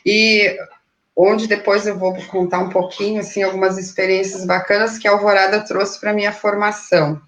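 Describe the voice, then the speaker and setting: A woman's voice: medium pitch, level tone, moderate pace, fairly bright tone. A woman schoolteacher introducing herself casually on a live chat, chatty and lightly joking. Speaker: municipal school teacher. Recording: dull lo-fi audio.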